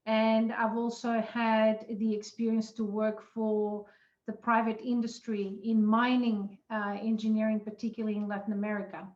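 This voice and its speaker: A middle-aged woman from Portugal speaks in a measured, formal way as a consultant guest. Her pitch sits slightly high and stays flat and even. The sound is roomy and a bit muffled. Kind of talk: casual panel chat. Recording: echoey, muffled room sound.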